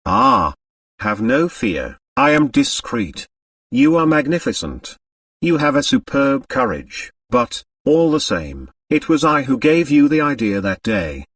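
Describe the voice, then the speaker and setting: A man's voice: mid-range voice, creaky, a bit bright, dry recording, far from the mic, full character voice. A man voicing a seductive, possessive foreign woman dancer in an audiobook, coaxing and emphatic. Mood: intense, conspiratorial. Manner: dramatic, coaxing, emphatic repetition. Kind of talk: audiobook character acting.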